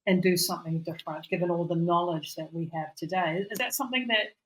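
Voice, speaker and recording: A woman's voice: on the low side, fast, a bit bright, a little hiss or hum, low-quality recording. A woman co-hosting a casual chat as a coach, talking reflectively and rambling, with many "you know"s and "like"s, over a remote call. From Europe. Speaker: podcast co-host and coach.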